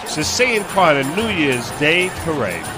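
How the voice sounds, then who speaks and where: An excitable male radio host chats through live, playful event commentary. His voice sits high and swings around a lot, with loud music playing behind him. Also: a little slow, a crowd in the background.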